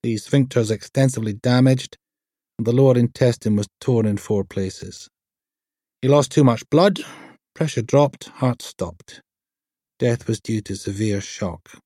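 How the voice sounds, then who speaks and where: A man's voice: mid-range voice, measured pace, dark tone, no echo, clean studio sound, close to an everyday voice. A man voicing a precise, humourless female pathologist in an audiobook, formal and clinical.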